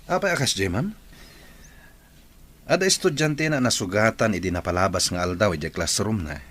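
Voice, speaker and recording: A man's voice: fairly low pitch, expressive, moderate pace, fairly bright tone. A man with an Ilocano accent playing a nosy, petty teacher in an audio drama. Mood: prying. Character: nosy, critical male teacher, petty and challenging colleague. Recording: clearly noisy background.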